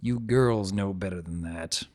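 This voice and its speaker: A man's voice: deep voice, unhurried, darkish tone, no room sound, studio quality. A man playing an easygoing, avuncular adult dragon who tells his own story with wry, conversational asides. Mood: firm, reassuring. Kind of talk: first-person dramatic reading of fan fiction.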